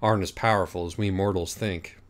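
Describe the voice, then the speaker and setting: A man voicing an earnest, excitable know-it-all child in an audiobook story. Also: sits low, brisk, lightly acted.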